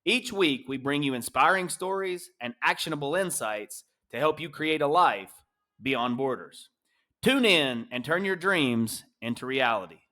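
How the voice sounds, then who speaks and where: A man's voice: on the high side, expressive, unhurried, pushed and bright. A man hosting a podcast, reading a scripted intro and then interviewing a guest casually. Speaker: podcast host.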